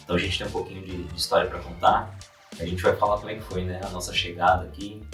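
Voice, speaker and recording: Deep-voiced Brazilian man, echoey room. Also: fast. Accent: Brazilian Portuguese, São Paulo interior. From Brazil, raised in São Paulo interior. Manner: relaxed, easygoing, frequent tag questions. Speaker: podcast co-host. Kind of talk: casual conversational talk. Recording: echoey room, distant mic, faint music.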